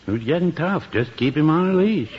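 An actor playing a mild, fussy small-town druggist in a 1950s radio comedy, meek and primly huffy. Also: male voice, on the high side, lively intonation, normal speed, natural voice. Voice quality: meek, prim, politely huffy. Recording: muffled old radio broadcast with hiss.

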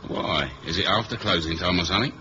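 A noisy, dull old radio drama recording carries a very low male voice. It is a cool British secret agent, dry and plain-spoken. The delivery is colloquial and wry. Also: fast, pushed and bright. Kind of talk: 1970s radio drama acting and first-person narration.

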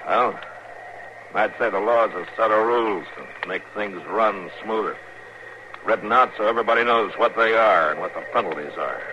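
An actor playing a stern, steady middle-aged marshal of the Old West in a 1950s radio western, American, laconic and matter-of-fact. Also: male voice, fairly low pitch, moderate pace, lightly acted. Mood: calm, firm. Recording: muffled, noisy 1950s radio recording.

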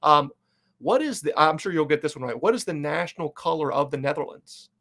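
Upbeat guy hosting a fan podcast, kinda higher voice, lots of ums. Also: animated, fairly quick, a bit bright. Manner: upbeat, chatty, loose with fillers. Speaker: podcast host. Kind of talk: casual interview chat.

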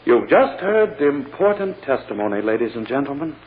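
A male actor plays a forceful courtroom prosecutor in old-time radio style. His delivery swings widely in pitch and is insistent and theatrical. The sound is muffled and band-limited, with a long hall reverb. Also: very animated, a little hiss or hum, natural voice. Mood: grandly dramatic. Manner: oratorical, insistent, dramatic pauses.

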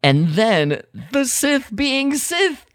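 A man's voice: very high-pitched, sing-song, very slow, a bit bright, no room sound, clean studio sound. A man co-hosting a podcast, explaining lore in a casual, informal way and often repeating his words. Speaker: podcast co-host. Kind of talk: casual fan discussion of lore.

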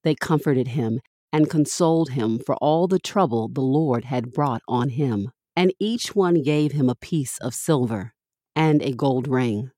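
Low-voiced woman reading scripture, very dry sound. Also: measured pace, dark tone, no echo. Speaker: scripture reader and podcast host.

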